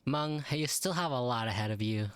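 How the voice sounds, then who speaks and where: A man's voice: medium pitch, moderate pace, smooth. A man giving casual, amused live reactions to a video game in an informal way. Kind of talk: casual live gameplay commentary. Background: soft game music.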